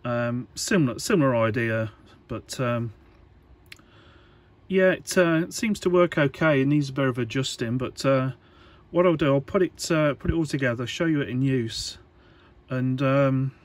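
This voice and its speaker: A man giving a casual, unscripted workbench demonstration in halting, hesitant phrases, as an electronics hobbyist would. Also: medium pitch. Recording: clear background noise.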